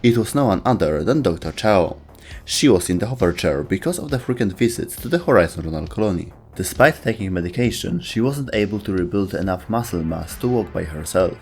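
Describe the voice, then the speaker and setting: A man's voice: low voice, expressive, brisk, a little mellow, breathy edge. A man narrating a scripted video game lore explainer as its host.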